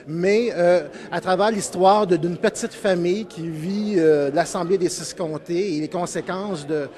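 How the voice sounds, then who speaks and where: A man's voice: sits high, a little slow, darkish tone. A man with a Quebec French accent speaks informally in an interview as a project spokesman, often searching for words.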